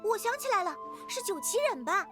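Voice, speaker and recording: A woman playing perky, friendly, excitable young girls in a Chinese fantasy game dub, with bubbly, expressive delivery. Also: very high-pitched, quick pace. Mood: impressed. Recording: game dub under clear background music. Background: game music.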